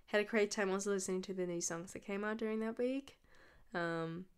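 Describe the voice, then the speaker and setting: A woman's voice: mid-range voice, fairly quick, smooth. A woman hosting a music podcast alone, rambling and enthusiastic, with lots of fillers. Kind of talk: casual solo podcast chat.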